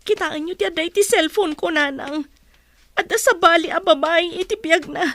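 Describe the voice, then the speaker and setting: A woman's voice: very high voice, normal speed, a bit bright. A woman acting the part of a hurt but resolute wife and mother in a radio-style drama, emotional and plaintive. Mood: tearful, defiant. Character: hurt, resolute wife and mother leaving her cheating husband.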